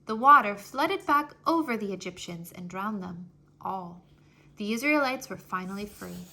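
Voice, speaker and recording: A woman reading a children's history story aloud in an expressive, deliberate, scripted storytelling manner. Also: medium pitch. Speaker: storybook reader.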